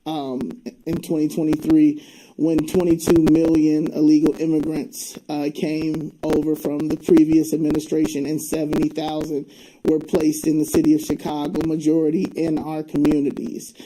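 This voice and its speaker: A woman's voice: low voice, completely flat delivery, normal speed, warm tone, low-quality recording. A woman from Albany, New York, speaking earnestly and emphatically as an interview guest. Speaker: interview guest, community advocate.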